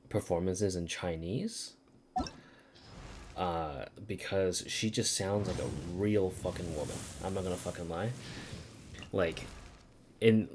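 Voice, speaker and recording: A man's voice: sits low, darkish tone, slight background noise. A man streaming a video game, chatting casually, thinking aloud in a rambling way with some swearing. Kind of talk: casual livestream chat over gameplay. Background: video game music.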